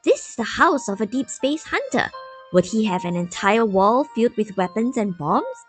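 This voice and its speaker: Woman narrating a story, really lively pitch swings, light music underneath. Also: normal speed. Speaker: narrator, fan story reader.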